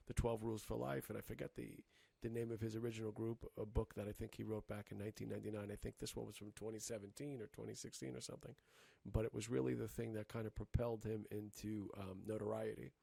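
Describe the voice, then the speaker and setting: A man co-hosting a casual podcast chat, talking in halting bursts with many restarts and fillers. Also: lowish voice, vocal fry, a little mellow, husky, no room sound. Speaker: podcast co-host.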